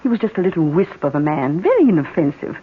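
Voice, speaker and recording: A woman's voice: deep voice, big pitch swings, fast talker. An actress playing a cold-blooded, greedy and coquettish woman accomplice in an old radio drama. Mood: coy, coolly sinister. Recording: tinny, hissy old radio recording.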